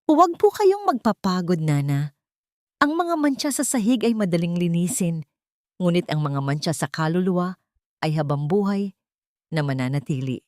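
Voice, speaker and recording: A woman voicing a poised, commanding rich woman with a Filipino accent, cool and deliberate, in an audio drama. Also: on the low side, very animated, darkish tone, no room sound, studio quality. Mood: gentle, pointed.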